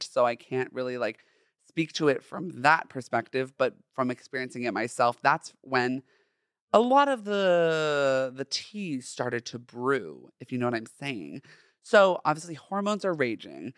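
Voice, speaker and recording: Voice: medium pitch, a bit bright, dry recording, clean studio sound. A podcast host in their twenties with a feminine-sounding voice, talking casually and playfully in chatty, slangy, emphatic monologue. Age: 20s.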